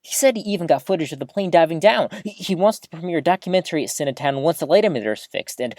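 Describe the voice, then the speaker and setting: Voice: medium pitch, quick pace, dry recording, studio quality, lightly acted. An actor playing a rambling, easily flustered small-town radio host giving a live broadcast in an audio drama, awkward and chatty. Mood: chatty, enthusiastic. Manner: awkward, animated, throat-clearing, chatty.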